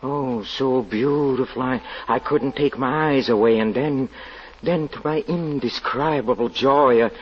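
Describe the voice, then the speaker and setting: An actor playing an anguished, haunted fortune teller in an old radio drama, halting and brooding as he confesses. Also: medium pitch, slightly rough. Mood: wistful awe. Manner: halting, brooding, repeats words with dramatic hesitation. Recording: dull vintage radio recording, music underneath.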